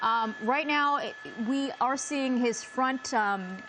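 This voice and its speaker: A woman news anchor giving unscripted live commentary in halting, hesitant phrases. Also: high voice, background noise.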